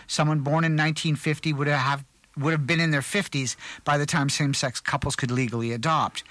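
A man's voice: a little high, fairly bright tone, no room sound. A middle-aged man reading a news story on a community radio show, with small slips and restarts. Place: radio studio.

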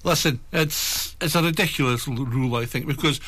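A man's voice: medium pitch, brisk, a bit bright. A middle-aged man co-hosting morning radio, rambling and self-deprecating with a wry humor. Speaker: radio co-host. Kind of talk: casual morning radio chat.

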